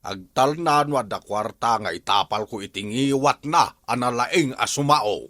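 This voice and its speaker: A man's voice: medium pitch, normal speed, pushed and bright, dry recording, studio quality, close to an everyday voice. A man playing a scheming, exclamatory buddy in an Ilocano comedy drama, with an Ilocano accent. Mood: amused, scheming. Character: scheming comic buddy hawking leaves as cures.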